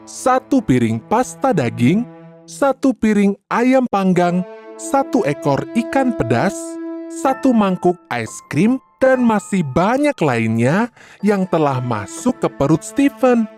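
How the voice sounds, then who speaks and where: A woman narrating a children's cartoon story in an expressive way. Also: mid-range voice, slow, music in the background.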